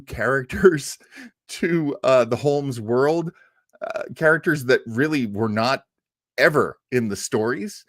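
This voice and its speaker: A man's voice: medium pitch, no echo, studio quality. A man hosting an informal, unscripted show on film history, rambling along as an enthusiastic storyteller. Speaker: host of an old radio and film show.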